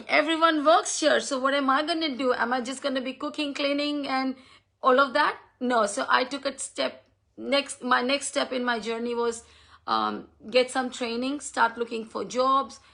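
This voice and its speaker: A woman in her fifties from India, with Hindi as her first language, giving a heartfelt talk on a live stream. Her voice is fairly high with a bright, gravelly edge, and she pauses often on um and uh. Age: 50s. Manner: earnest and thankful, with frequent ums and uhs. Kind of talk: personal talk on a social media live stream. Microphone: phone or computer mic on a live stream.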